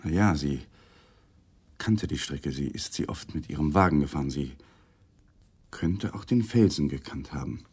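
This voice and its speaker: A man's voice: very deep voice, expressive, normal speed, pauses often, a little mellow, slightly rough, exaggerated voice. A man acting in a 1980s German radio crime play as a defensive, uneasy husband who gives hesitant, guarded answers to police. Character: defensive, uneasy husband under police questioning, awkward lawyer. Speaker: actor in a radio crime drama.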